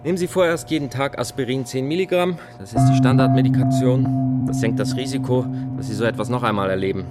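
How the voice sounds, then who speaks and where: A man's voice: medium pitch, fast, loud music behind the voice, lightly acted. An actor playing a matter-of-fact, reassuring yet noncommittal male hospital doctor in a naturalistic radio drama. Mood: explanatory. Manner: explanatory, businesslike.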